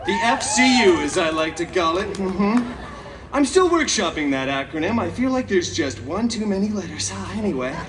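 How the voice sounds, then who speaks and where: A man's voice: sits high, very animated, moderate pace. A man playing a chaotic, cheeky, vain masked antihero who tells a story theatrically to a live audience with sassy, mock-sweet asides. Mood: dramatic, smug. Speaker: theme park stage show performer. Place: theme park stage, recorded from the audience. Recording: amplified live show, distant, crowd noise.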